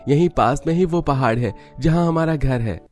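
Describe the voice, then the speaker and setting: Male narrator as young cartoon husband: pitched up, cheerful but careful, coaxing tone, music bed. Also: moderate pace, darkish tone, natural voice. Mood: explaining. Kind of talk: cartoon story dialogue.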